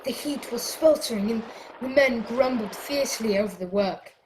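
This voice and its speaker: A woman playing an excitable boy adventurer, narrating a radio drama with dramatic storytelling. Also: on the high side, normal speed, a little hiss or hum, lightly acted, low-quality recording. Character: excitable boy adventurer telling his tale.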